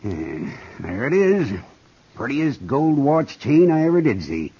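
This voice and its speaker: A plain-spoken, obliging frontier storekeeper with a mid-pitched, very lively voice. He is folksy and respectful, heard on a noisy old Western radio recording. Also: measured pace, muffled recording. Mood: friendly, obliging. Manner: folksy, deferential. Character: obliging, plain-spoken small-town male frontier shopkeeper. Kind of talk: 1950s Western radio drama acting.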